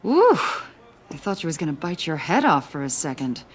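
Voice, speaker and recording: Game role: probing young agent woman, low breathy voice, friendly and conversational. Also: very animated, normal speed, exaggerated voice. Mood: businesslike. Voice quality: husky, breathy. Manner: conversational, inquisitive. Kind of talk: video game dramatic acting.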